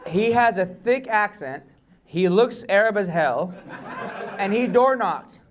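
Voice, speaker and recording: A man speaking as a guest at a meetup, addressing a live audience in a blunt, animated and joking way with some mild swearing. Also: sits high, slow pace, crowd noise, background noise. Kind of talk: casual talk to a live audience.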